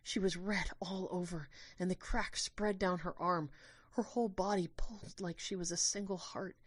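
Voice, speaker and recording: Woman acting anguished young storyteller, lower voice. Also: moderate pace, no room sound, studio quality, natural voice. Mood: earnest, tense. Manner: emotional, intense, expressive. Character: anguished, devoted young human narrator named Lucas. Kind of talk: dramatic first-person audio drama narration.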